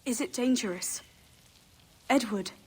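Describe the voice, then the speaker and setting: A woman playing a worried, gentle young wife in a video game, pleading with her husband. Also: fairly high pitch, measured pace, a little hiss or hum, natural voice. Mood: anxious. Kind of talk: video game cutscene acting.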